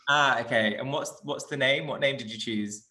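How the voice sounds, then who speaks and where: A man's voice: medium pitch, normal speed, pushed and bright. A man hosting an online panel discussion, chatty and encouraging as he introduces guests and asks questions. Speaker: panel host.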